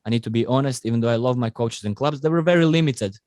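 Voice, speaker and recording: A man's voice: medium pitch, fast, no echo, studio quality. A man, telling his story as a podcast guest in slightly phrasing. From Croatia. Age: 20s. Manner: non-native phrasing, fillers, lively storytelling. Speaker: podcast guest, rugby player. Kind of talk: casual interview storytelling.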